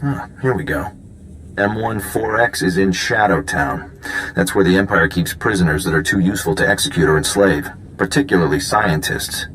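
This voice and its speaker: Low-voiced, wry intelligence agent. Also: male voice, normal speed, fairly bright tone, a little hiss or hum, low-quality recording. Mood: focused, matter-of-fact. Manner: urgent, wry, matter-of-fact. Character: brisk, wry male secret intelligence agent. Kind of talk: video game voice acting.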